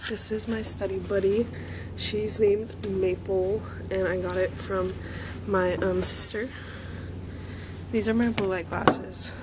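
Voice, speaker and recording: A young woman, a university student, chatting casually in a vlog with a slightly hoarse voice, upbeat and rambling, filled with ums. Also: medium pitch, fairly even intonation, takes it slowly, very noisy. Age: young adult. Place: outdoors on a campus, then an indoor study corner.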